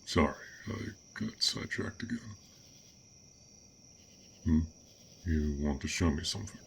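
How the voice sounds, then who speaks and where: Gentle dragon for fantasy audio roleplay, played by a man: very low pitch, unhurried, formal old-world phrasing, curious and polite. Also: creaky, slight background noise, full character voice. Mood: musing, courteous. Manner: formal, old-fashioned wording, unhurried and pensive. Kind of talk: scripted roleplay audio drama.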